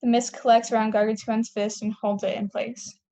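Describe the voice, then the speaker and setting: A woman's voice: on the high side, flat delivery, moderate pace, gravelly, warm tone. A woman reading scripted stage directions at a table read, plainly and with the odd stumble.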